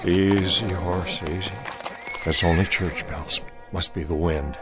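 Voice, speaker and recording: An actor playing a stern, resolute Confederate cavalry soldier in a radio drama, with a folksy, commanding Southern US accent. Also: male voice, deep voice, fairly even intonation, measured pace, music in the background. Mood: uneasy.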